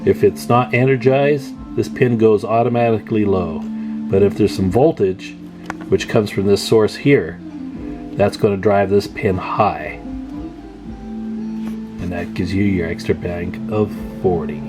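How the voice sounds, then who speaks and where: A man with a fairly low voice talks casually through a hands-on demonstration, with plucked guitar music clearly playing behind him. He comes across as an informal, folksy hobbyist host speaking straight to his viewers. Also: unhurried. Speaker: radio repair hobbyist and video host.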